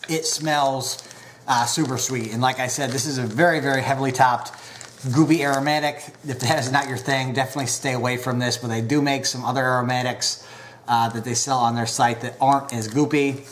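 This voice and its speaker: A man casually reviewing pipe tobacco, unhurried and full of filler pauses, a bit stuffed up from a recent cold. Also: mid-range voice, steady tone, a bit bright, slight background noise.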